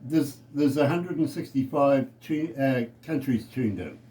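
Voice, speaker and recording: A man's voice: medium pitch, expressive, a little slow, mellow, a little hiss or hum. A man with a New Zealand accent, a radio DJ chatting casually and jokingly in a live interview.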